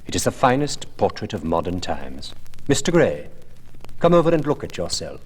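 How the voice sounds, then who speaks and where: Languid worldly aristocrat, played by a male narrator, low register, grandly persuasive epigrams, literary storybook. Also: big pitch swings, moderate pace, breathy edge, exaggerated voice. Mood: urbane, amused.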